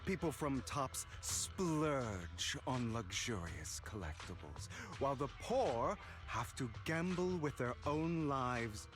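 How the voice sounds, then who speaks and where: A man playing a suave, theatrical and courteous phantom thief in a video game, speaking in smooth, elaborately polite lines over music. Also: medium pitch, lively intonation, slow pace, few pauses. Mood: grave, idealistic. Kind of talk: scripted video game voice acting. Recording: music under the voice.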